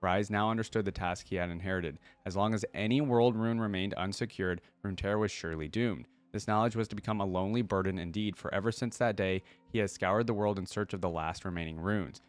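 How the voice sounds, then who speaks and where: A man's voice: on the low side, quick pace, few pauses. A man reading stories aloud and giving casual, chatty commentary as a reaction host. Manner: informal, chatty.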